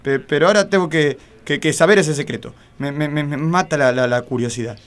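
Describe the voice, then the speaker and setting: A man's voice: on the high side, a little fast, natural voice. An Argentine man playing a nervous, anxious boyfriend with a heavy stutter in a comedy radio play. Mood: pleading. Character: nervous, stammering, anxious boyfriend who hired a detective.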